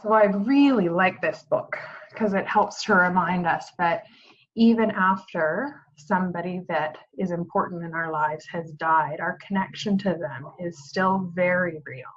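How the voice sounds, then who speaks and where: A woman gently and simply explaining a story and craft activity to families and children. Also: lowish voice, unhurried, dull audio. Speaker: bereavement coordinator reading to families. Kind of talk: children's read-aloud and activity instructions.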